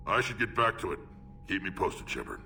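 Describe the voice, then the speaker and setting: An actor playing a gruff, restless alien warlord in a video game, with a deep growling voice. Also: male voice, lively intonation, a little fast, sharp tone, recorded from a distance, faint music behind, heavily acted.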